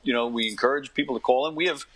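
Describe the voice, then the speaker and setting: A man's voice: medium pitch, racing, fairly bright tone. A middle-aged man talking casually as an interview guest, earnest and emphatic, often repeating his phrases. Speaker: podcast guest, actor and comedy radio host.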